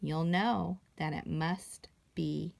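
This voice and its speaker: A woman reading a children's story aloud expressively, with dramatic pauses and emphasis. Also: deep voice, steady tone, gravelly.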